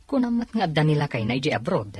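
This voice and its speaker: A man playing a caring, mildly complaining husband in a conversational audio drama, with a husky voice. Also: a little high, sing-song, fast, a little mellow, heavily acted. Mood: questioning.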